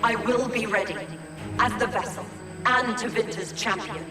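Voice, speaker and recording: An actress playing a proud, devoted mage champion in a fantasy game, speaking with formal composure. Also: female voice, on the high side, normal speed, close to an everyday voice. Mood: resolute. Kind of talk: video game cutscene acting. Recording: echoey, distant, music behind.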